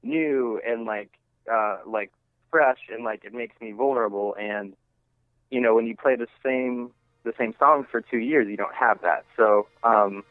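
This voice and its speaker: A man being interviewed as a musician, chatting casually and haltingly, often saying "like" and "you know". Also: medium pitch, dry recording. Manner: halting and conversational, heavy use of "like" and "you know". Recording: thin phone-line sound.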